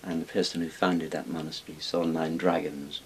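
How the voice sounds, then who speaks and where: A man's voice: extremely low pitch, little pitch movement, moderate pace, rough recording. An older man from Wales explaining things casually and discursively as an interviewed expert, in a small book-lined room. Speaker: interviewed expert on Chinese dragons. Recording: hissy background noise.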